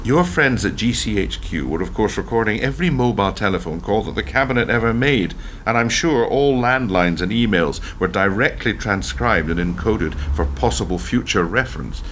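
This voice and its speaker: A man acting as a defiant, embittered ex-prime minister in a radio play, speaking in a deliberate, rhetorical, self-justifying manner. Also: fairly low pitch, expressive, fairly bright tone, slight background noise. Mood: measured, ominous. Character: defiant, embittered male ex-prime minister telling his story.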